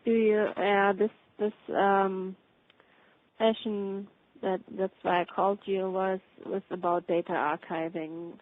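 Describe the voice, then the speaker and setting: A woman's voice: medium pitch, little pitch movement, slow pace, no room sound. A woman chatting casually on a work call, hesitant and often trailing off mid-thought. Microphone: phone or conference call. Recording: muffled narrow phone-like call.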